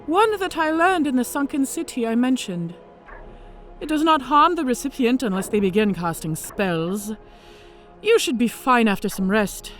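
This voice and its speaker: An actress playing a cunning, vengeful dragon mage disguised as a centurion, speaking in a theatrical, formally articulate way in a fantasy audio drama. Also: female voice, fairly high pitch, lively intonation, normal speed, fairly bright tone, faint music behind. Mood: mocking, calm.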